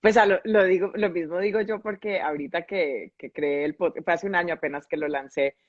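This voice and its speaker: A low-pitched woman with a Colombian accent answers interview questions in a reflective, explanatory way. The sound is dry and close, though dull and lo-fi. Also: fairly quick, dry recording. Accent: Colombian Spanish. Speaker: podcast guest and grief facilitator.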